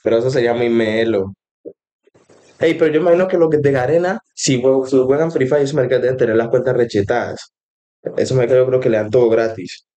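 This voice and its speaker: Mellow young Colombian man reacting casually. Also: mid-range voice, brisk. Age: young adult. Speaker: reaction streamer. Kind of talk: casual reaction commentary, slangy. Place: bedroom.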